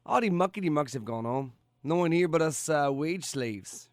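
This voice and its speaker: An actor playing a sleazy, cocky slacker of a security guard, talking flippantly in an audio drama. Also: male voice, fairly high pitch, lively intonation, moderate pace, no echo, studio quality, lightly acted.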